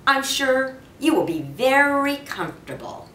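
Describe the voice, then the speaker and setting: Seasoned storybook bellboy around sixty, read by a woman, polite and slow, roomy recording. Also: high voice, big pitch swings, a bit bright, pitched above the performer's own voice, exaggerated voice. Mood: courteous. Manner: courteous, deliberate. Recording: roomy, distant mic with faint noise.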